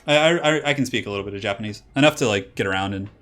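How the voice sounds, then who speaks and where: A man's voice: fairly low pitch, very fast, fairly bright tone, soft background music. Rambling young man casting a strategy game. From California. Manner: rambling, filler-heavy with many "like"s. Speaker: streamer and caster. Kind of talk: casual game commentary with chat.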